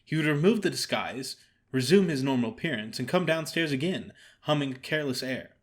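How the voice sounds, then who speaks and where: A man narrating a story in a theatrical, formal and expressive way, as an actor performing an audio drama. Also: mid-range voice, fairly quick.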